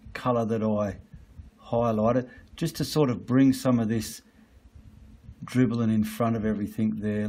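A man's voice: medium pitch, a little mellow, slightly rough. A man giving a casual, blunt painting tutorial while he works at an easel, with the odd mild swear.

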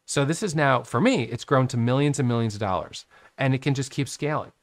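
Animated man in his thirties teaching. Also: mid-range voice, a little fast, no room sound. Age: 30s. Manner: animated, talks with his hands, drawing on a whiteboard. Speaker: trading educator. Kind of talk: casual whiteboard lesson. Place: home office with monitors. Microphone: studio microphone on a boom arm.

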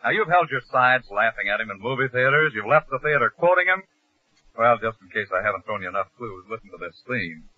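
Animated American radio host, vintage broadcast. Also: male voice, medium pitch, a little fast, noisy background. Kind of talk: 1940s radio announcing and comic banter. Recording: old muffled radio broadcast.

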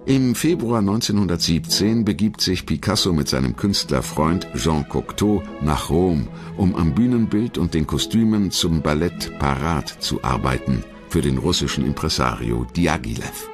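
Very deep male documentary narrator, expressive intonation. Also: normal speed, few pauses, music in the background.

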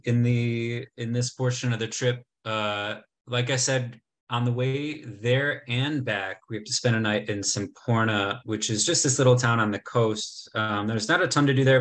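A man in his thirties giving a casual travel slideshow talk over a video call, chatty, with many ums and restarts. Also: mid-range voice. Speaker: travel presenter. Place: indoor room, remote talk. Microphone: webcam video call.

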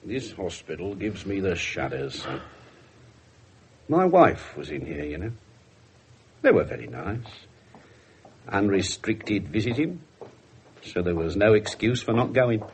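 A sardonic English police inspector, very animated. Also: male voice, on the low side, unhurried, pauses often. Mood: subdued. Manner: dry, sarcastic, clipped. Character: brusque, sardonic male police detective inspector. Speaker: actor in a radio play. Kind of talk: 1970s British radio drama acting. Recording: 1970s radio broadcast with faint hiss.

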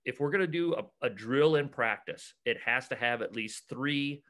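A middle-aged American man, a basketball coach, explaining things casually and haltingly on a video call. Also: mid-range voice, fairly bright tone. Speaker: basketball coach and clinic organizer. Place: cluttered room at home, on a video call.

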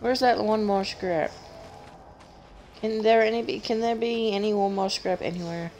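A woman with a deep voice, chatting casually as she plays a game on stream, joking at herself and humming while she searches. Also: steady tone, normal speed, a little mellow, slight background noise. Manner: chatty, self-mocking, giggly, hums while searching. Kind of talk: casual gameplay commentary.

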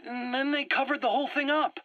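A man's voice: very high-pitched, steady tone, fairly quick, no room sound. A man acting a proud, anxious scientist in a video game, earnestly explaining and defending himself. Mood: proud lecturing. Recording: dull, dry radio-like cassette recording.

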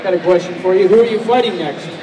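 Fast, high-pitched man hosting in echoey hall. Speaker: demo host. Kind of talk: live event announcing and questions. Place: large indoor expo hall. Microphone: distant handheld camera. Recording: echoey expo hall, distant, crowd noise.

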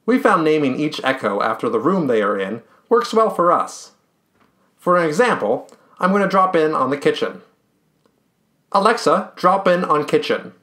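A man in his thirties hosting a tech tutorial, speaking upbeat and clear straight to the camera in a small room at home. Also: fairly high pitch, sing-song, normal speed, a bit bright. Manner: upbeat, clear presenter delivery. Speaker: tech channel host. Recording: roomy echo.

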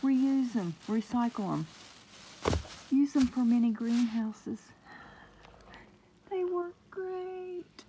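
A woman chatting excitedly about her seedlings, sometimes dropping to a murmur, recorded on a handheld phone indoors. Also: on the high side, takes it slowly, long pauses, a little hiss or hum, rough recording. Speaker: gardening vlogger. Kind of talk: casual home gardening vlog. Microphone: phone camera held close.

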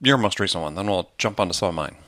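A man's voice: low voice, lively intonation, fast, sharp tone, dry recording, clean studio sound. A middle-aged man hosting a podcast in a casual conversational style. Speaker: podcast host.

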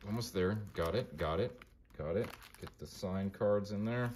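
A man with a monotone voice casually talking through his card collection, mumbling names as he flips through binders. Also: lowish voice, moderate pace, slight background noise. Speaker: collector vlogger.

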